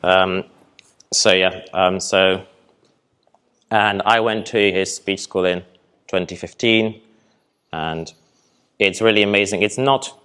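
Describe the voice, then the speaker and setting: A young man from poland, a presenter at a festival hall with a lowish voice. he talks in a loose, relaxed way, hesitating often and filling gaps with ums. his tone stays calm and low throughout. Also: slow pace, long pauses, clean tone. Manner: relaxed, hesitant, many ums, light laughs. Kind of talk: conference talk with audience Q&A.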